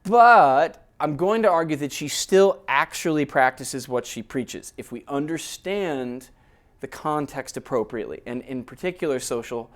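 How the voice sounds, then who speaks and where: A man lecturing in a relaxed, conversational way, explaining ideas step by step and often checking in with his listeners. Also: on the high side, unhurried. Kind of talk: academic lecture.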